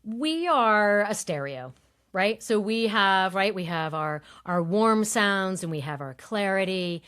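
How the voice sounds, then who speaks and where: A woman voice coach explaining in a relaxed interview, her voice on the higher side, and she often demonstrates tones with it. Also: slow, clear, resonant tone. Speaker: voice coach guest. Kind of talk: conversational interview, demonstrating voice techniques.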